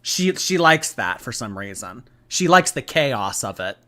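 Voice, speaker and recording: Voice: medium pitch, sing-song, a little slow, pushed and bright. A commentator giving casual, emphatic reactions, blunt and given to repeating phrases for effect. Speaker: host reacting to clips.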